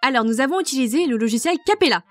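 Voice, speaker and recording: Woman reading her fandub faq answers, slightly high voice, faint music under. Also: animated, rapid-fire. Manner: explanatory, detailed. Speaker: fandub project lead.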